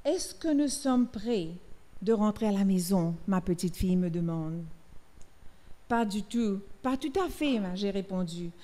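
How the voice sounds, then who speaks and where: A middle-aged woman from Mauritius, slowly reading a sermon aloud, with hesitations and restarts. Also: mid-range voice, expressive, mellow. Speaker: preacher. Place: church.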